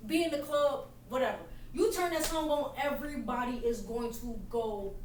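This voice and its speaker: Woman host hyped up chatting, kinda high voice, roomy far-off mic. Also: moderate pace, slight background noise. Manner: excited, animated casual chat with laughs. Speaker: podcast host. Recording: roomy echo from far off, faint ambience.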